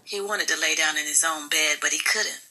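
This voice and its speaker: A woman's voice: low voice, moderate pace, bright, forceful tone, breathy edge, low-quality recording. A woman reading scripted fiction as an audiobook narrator.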